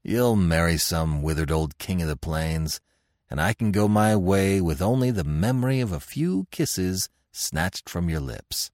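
A man playing a fierce, proud barbarian warrior chief in an audiobook adventure, gruff, growling and sardonic. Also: low voice, lively intonation, a little slow, darkish tone, no room sound, clean studio sound. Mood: mocking.

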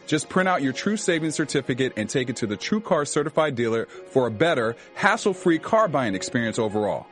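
A man reading an upbeat sponsored ad in a peppy, salesy way. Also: a little high. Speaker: ad presenter. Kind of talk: upbeat ad read.

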